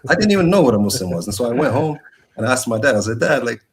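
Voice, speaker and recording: A man from Morocco telling his personal story as a guest, casually and with a halting, hesitant delivery. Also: mid-range voice, very animated, slight background noise.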